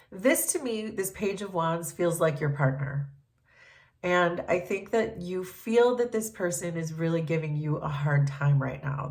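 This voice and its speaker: A woman reads tarot cards for her viewers in a gentle, unhurried way, pausing often to think. Her voice sits a little low and sounds stuffy from a cold. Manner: gentle, thoughtful, many ums and pauses. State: congested from a cold. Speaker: tarot reader. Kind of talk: collective tarot reading.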